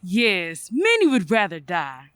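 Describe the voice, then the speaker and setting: A woman playing a polite, earnest Victorian charity collector in a radio play, measured and courteous. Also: medium pitch, wildly swooping pitch, fairly bright tone, clean tone, no echo, exaggerated voice. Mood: disapproving. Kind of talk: old-time radio play acting.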